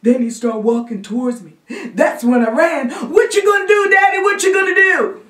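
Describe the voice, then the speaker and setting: A woman's voice: sits high, moderate pace, fairly bright tone, voice pushed up. A woman voicing a terrified, frantic nine-year-old boy in a theatrical monologue. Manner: frantic, childlike. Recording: roomy echo, distant microphone.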